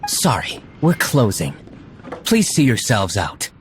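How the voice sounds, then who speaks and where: A man's voice: medium pitch, wildly swooping pitch, slow, a little hiss or hum. A man voicing a stern, aloof young tavern owner in a video game, speaking in a curt, dry, formal way.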